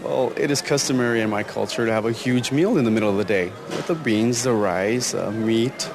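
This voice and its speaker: A man's voice: medium pitch, fairly quick, vocal fry. A man from Mexico telling an anecdote as an interviewee. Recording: busy outdoor crowd and traffic.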